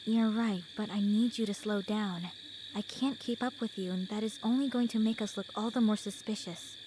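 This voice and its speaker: A woman's voice: mid-range voice, steady tone, normal speed, background noise, natural voice. A woman playing a sensible, concerned young companion in a fantasy visual novel, with crickets and owls behind her. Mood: gently firm. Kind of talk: dramatic visual novel voice acting.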